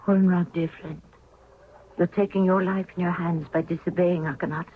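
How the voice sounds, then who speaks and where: An actor playing an anxious royal of ancient Egypt, pleading with a dear friend in a 1970s radio drama. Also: male voice, high voice, steady tone, moderate pace, natural voice. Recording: noisy, tinny old radio recording.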